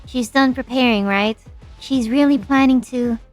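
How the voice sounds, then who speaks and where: A woman playing a sassy, anxious young lab director in an expressive, flippant fan-dub style. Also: sits high, normal speed, soft background music, natural voice. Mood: tense, defiant. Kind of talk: fan-dub game drama acting.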